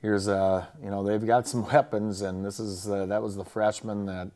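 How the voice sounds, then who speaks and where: A man with an American accent, a football coach talking casually through a game recap in a plainspoken, halting way. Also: on the low side, little pitch movement, dark tone. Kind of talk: casual sports recap and highlight commentary.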